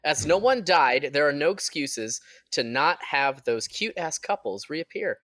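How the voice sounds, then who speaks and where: A man's voice: fairly high pitch, lively intonation, measured pace, fairly bright tone, no room sound. A man co-hosting a podcast, casually reading out questions sent in by listeners.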